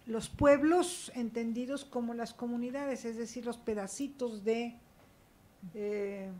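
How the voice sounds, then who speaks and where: A woman's voice: a little high, steady tone, slow, a little mellow, a little hiss or hum. A woman panelist speaking emphatically, checking in with rhetorical questions. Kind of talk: academic panel talk. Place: university auditorium panel.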